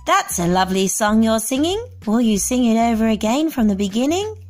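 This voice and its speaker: A woman voicing an old bullying male wild dog with a gruff, snarling manner. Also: a little high, sing-song, fairly quick, faint music behind, voice pushed up. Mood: coaxing.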